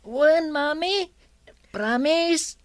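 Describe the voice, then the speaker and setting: A woman's voice: high voice, animated, very slow, no echo, heavily acted. A woman voicing a little child in a radio drama, eager and coaxing as the child pleads with a parent. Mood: pleading, eager.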